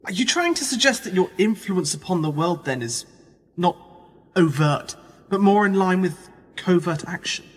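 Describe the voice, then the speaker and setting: An actor in a radio play voicing a skeptical journalist, with a husky voice and a dry, probing, challenging manner. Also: male voice, sits high, animated, normal speed. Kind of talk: radio drama dialogue. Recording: long reverberant hall, music underneath. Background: music.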